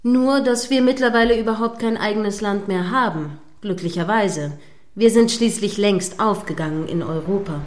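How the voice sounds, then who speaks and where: A woman's voice: mid-range voice, moderate pace, close to an everyday voice. Woman acting a stern female radio anchor. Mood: sceptical, reproving. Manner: stern, pointed, formally articulate. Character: prim, combative female radio news anchor, irritated and sceptical. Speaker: radio news presenter. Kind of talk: radio play news broadcast dialogue.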